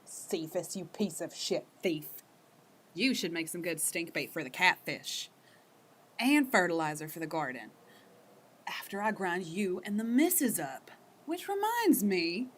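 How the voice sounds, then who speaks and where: Actress as cold-blooded country girl, lowish pitch, matter-of-fact, rustic, faint background noise, audio drama. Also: sing-song, a little slow. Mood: coldly menacing. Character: young backwoods daughter, blunt, cold-blooded and deadly.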